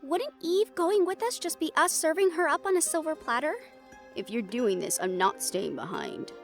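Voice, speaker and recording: A woman's voice: sits high, expressive, normal speed, creaky. An actress playing a wary, protective and responsible woman hunter in a fantasy audio roleplay, blunt and skeptical in manner. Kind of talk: scripted audio roleplay drama. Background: background music.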